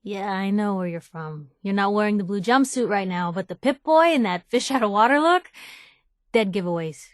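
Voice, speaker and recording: A woman's voice: medium pitch, expressive, normal speed, no room sound, clean studio sound, close to an everyday voice. An actress playing a plucky young woman newspaper reporter in a video game, with a wry, teasing, conversational way of talking. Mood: earnest, excited. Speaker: companion character voice actor.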